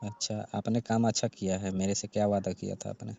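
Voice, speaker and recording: Man acting low, dark-voiced sulky young repairman. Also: level tone, a little fast, gravelly, a little hiss or hum. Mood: aggrieved. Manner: complaining, grudging. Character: sulky, distrustful young repairman, friend of the woman's family. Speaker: heater repairman. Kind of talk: staged comic phone call. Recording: dull, dry lo-fi phone recording.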